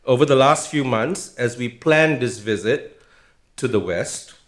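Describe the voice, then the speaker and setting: A man's voice: medium pitch, expressive, a little slow. A middle-aged man giving a formal speech of introduction as a host, telling anecdotes along the way. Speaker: host introducing a guest lecturer. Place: indoor public lecture event. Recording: roomy, echoing event venue sound.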